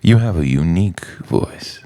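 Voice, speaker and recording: A man delivers introspective spoken-word poetry as a monologue, in a dramatic, deliberate way with pauses between phrases. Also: deep voice, lively intonation, warm tone, dry recording. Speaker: poet.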